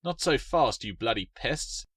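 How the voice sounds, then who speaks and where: A man's voice: mid-range voice, animated, measured pace, a bit bright, slightly rough, no echo, clean studio sound. A man voicing a blunt, grumbling male custodian at a fantasy park in a story reading. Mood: alarmed anger. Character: gruff, exasperated male theme park custodian.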